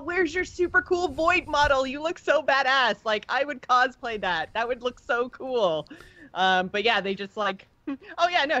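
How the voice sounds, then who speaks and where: A woman's voice: a little high, fairly bright tone, dry recording, highs cut off. A woman co-hosting a podcast in casual chat, talking enthusiastically with plenty of slang. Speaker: podcast co-host. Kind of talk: casual chat about game lore.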